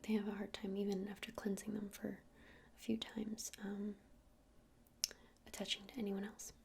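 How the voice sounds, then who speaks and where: A woman playing a gentle crystal-shop witch in an ASMR roleplay, whispering breathily and explaining things unhurriedly with hesitant pauses. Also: medium pitch, monotone, a little hiss or hum, natural voice. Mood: soothing, earnest. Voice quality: breathy whisper with creaky edges.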